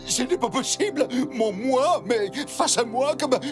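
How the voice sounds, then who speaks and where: Proud male cartoon dog, gruff and easily offended, very animated delivery, music underneath. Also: sits high, unhurried. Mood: astonished.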